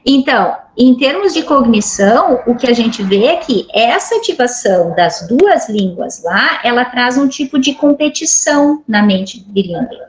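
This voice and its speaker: A woman's voice: a little high, rough recording. A middle-aged woman from Brazil giving an academic lecture over a video call, explaining patiently and checking in with frequent tag questions. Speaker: guest lecturer.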